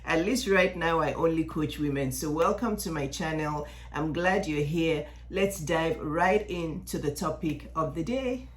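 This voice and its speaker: A woman's voice: sits low, measured pace, few pauses. Woman in her fifties, echoey room. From Nigeria. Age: 50s. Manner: direct and encouraging, addresses viewers, repeats key points. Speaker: women's life coach. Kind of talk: motivational vlog talk. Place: home workout room.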